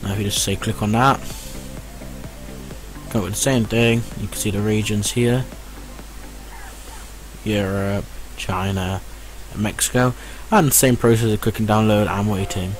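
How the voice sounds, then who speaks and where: A man's voice: on the low side, steady tone, moderate pace, long pauses, low-quality recording. A man giving a casual, reassuring step-by-step tech tutorial in an explanatory way. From United Kingdom. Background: music.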